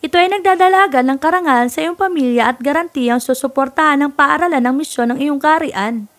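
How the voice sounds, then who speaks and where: A woman playing a chatty, knowing young princess who acts as a guide in an animated fairy tale. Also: high-pitched, fast. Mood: explanatory.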